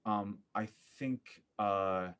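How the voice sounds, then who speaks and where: A man giving a thoughtful interview as a podcast guest, searching for his words with an earnest, halting manner. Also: on the low side, a bit bright, smooth. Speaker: podcast guest, theater artist.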